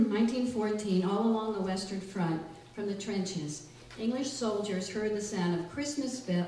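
A woman's voice: mid-range voice, mellow, far from the mic. A middle-aged woman reading scripted narration as the narrator of a live old-time radio show. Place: small indoor room with audience. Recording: echoey room, off mic, background noise.

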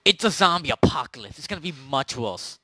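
A man improvising casual narration as game master of a role-play, often halting and correcting himself. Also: fairly high pitch, sing-song, pushed and bright, a little hiss or hum.

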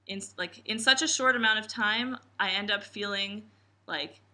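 A woman in her twenties from the New Jersey and New York area, a camp coach, speaking expressively in an interview. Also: moderate pace. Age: 20s. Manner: expressive, acts out quotes with big pitch jumps. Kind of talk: reflective interview answers. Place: indoor room with posters.

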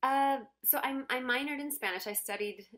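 A woman's voice: high voice, moderate pace, a bit bright. A woman, giving friendly, explanatory answers as a guest in a video call interview. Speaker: interview guest, dance teacher. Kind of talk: conversational interview. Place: room at home, video call.

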